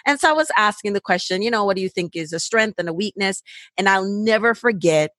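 A woman's voice: mid-range voice, lively intonation, brisk, fairly bright tone, no echo. Jamaican woman host, dry sound. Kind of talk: interview conversation.

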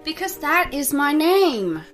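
A high, composed voice of a secretive young woman with a deadly trade. She stays eerily polite and controlled, with faint music beneath. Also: slow pace, exaggerated voice. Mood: evasive. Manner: calm, controlled, chillingly polite. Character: cold, secretive young woman supplying human meat. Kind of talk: horror radio play acting.

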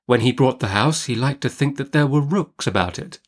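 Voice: medium pitch, animated, brisk, voice pushed up, natural voice. A narrator voicing a meek young mother-to-be in an audiobook, faltering, faint and innocent.

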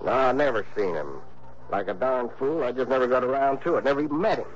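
A man's voice: medium pitch, expressive, quick pace, close to an everyday voice. An actor playing a folksy, crotchety small-town insurance man in an early 1960s radio drama, with a hesitant, exasperated drawl. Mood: suspicious. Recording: muffled, noisy old radio broadcast.

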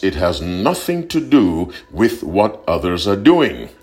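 A man giving a short broadcast sermon, earnest and deliberate, repeating key points for emphasis. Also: sits low. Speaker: preacher.

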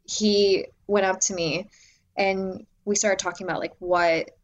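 Loud, chatty young woman. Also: mid-range voice, unhurried, muffled recording, slight background noise. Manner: animated, filler-heavy, many "like"s. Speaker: assistant director guest. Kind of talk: casual interview conversation.

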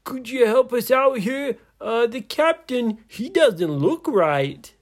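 Man putting on a high, wailing, dim pirate. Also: lively intonation, full character voice. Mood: dopey, strained. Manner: wailing, drawn-out cries with dopey hesitations. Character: panicked squished pirate crewmen, dim and pleading.

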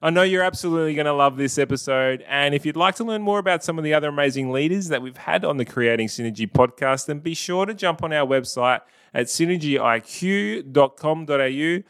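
A man's voice: a little high, a little fast, few pauses, fairly bright tone, clear, resonant tone. A man hosting a podcast interview, chatty and upbeat. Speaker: podcast host. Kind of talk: podcast interview, casual chat.

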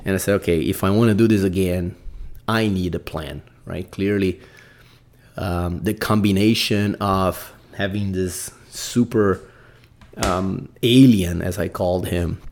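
A man's voice: low voice, fairly even intonation, a little slow, darkish tone. A man from Brazil with a Brazilian accent, hosting a solo podcast, talking casually and rambling with many fillers and "right?" tags. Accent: Brazilian Portuguese. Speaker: podcast host.

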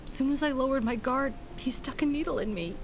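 Higher-voiced frightened captive woman. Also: a little fast, noisy background. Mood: shaken. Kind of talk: audio drama acting.

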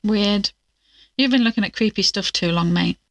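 A woman's voice: medium pitch, moderate pace, no room sound, lightly acted. A woman acting as a wry, impatient young investigator in an audio drama, blunt and teasing with her friends.

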